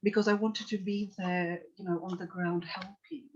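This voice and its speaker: Earnest woman from Tanzania, flat delivery. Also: mid-range voice, normal speed, husky. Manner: earnest, reflective, many restarts and "you know". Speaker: psychotherapist guest. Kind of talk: podcast interview storytelling. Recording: dull lo-fi call audio.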